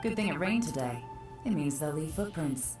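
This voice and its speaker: A woman's voice: low voice, animated, moderate pace, recorded from a distance. An actress playing a sly, self-assured female spy in a game, speaking in a cool, knowing, dry way. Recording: roomy game audio with music.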